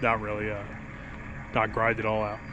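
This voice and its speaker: A man filming a casual fishing vlog outdoors in winter, sounding stuffy from a cold, talking in a rambling, relaxed way with small chuckles. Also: on the low side, normal speed, dull audio. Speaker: fishing vlogger. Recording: outdoor recording with clear background noise.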